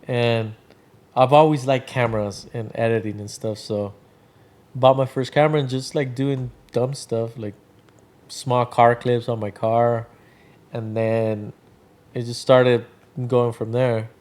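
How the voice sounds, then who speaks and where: A man from Venezuela in his thirties talks at an easy, unhurried pace. His voice sits at an ordinary middle pitch. He rambles with plenty of fillers. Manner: relaxed, rambling, many fillers. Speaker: guest, drink brand founder. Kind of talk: casual interview chat.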